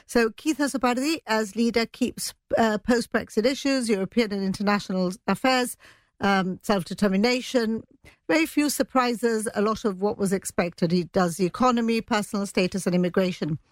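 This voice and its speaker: A woman giving an unscripted news report as an editor, pausing to think as she goes. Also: mid-range voice, no echo.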